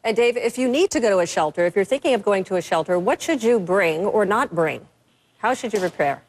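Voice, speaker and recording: A woman's voice: medium pitch, lively intonation, a little fast, poor audio. A woman, a news anchor reporting and interviewing live.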